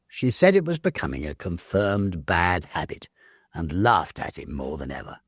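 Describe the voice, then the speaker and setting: A man narrates a literary ghost story in a fairly low voice with plenty of rise and fall. He takes his time over phrases and leaves pauses for effect. The recording is dry and close. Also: no echo. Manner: measured, expressive storytelling with pauses.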